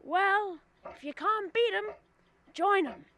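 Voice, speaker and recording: A woman's voice: very high voice, takes it slowly, a bit bright, heavily acted. A teenage girl acting a scheming, smug rich businessman in a radio drama, persuasive and self-important. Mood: coaxing. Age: teens. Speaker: student radio drama actor.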